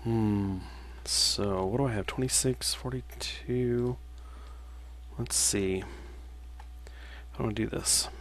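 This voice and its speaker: A man in his mid-thirties talks through a casual gameplay stream in a somewhat low voice. He is relaxed and unhurried, often pausing on "um" as he answers viewer questions between moves. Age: 30s. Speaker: livestreaming gamer and game developer. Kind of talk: casual livestream chat while playing.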